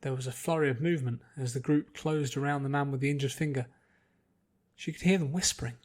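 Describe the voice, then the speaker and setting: A man reading a story aloud as an expressive scripted narration. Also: medium pitch, fast talker. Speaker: podfic narrator.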